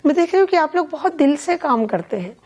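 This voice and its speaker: An older woman being interviewed as a poet and event organiser, speaking in a measured, earnest, deliberate way. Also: sits high, very animated, darkish tone, highs cut off. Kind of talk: reflective conversational interview.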